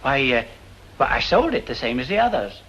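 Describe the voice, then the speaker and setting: An actor playing a fussy, easily flustered and forgetful art shop owner in an old detective drama. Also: male voice, mid-range voice, very animated, fairly quick, a bit bright, lo-fi sound. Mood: flustered, polite. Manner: fussy, scolding, flustered exclamations. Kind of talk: old-time detective film acting. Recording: old soundtrack with background noise.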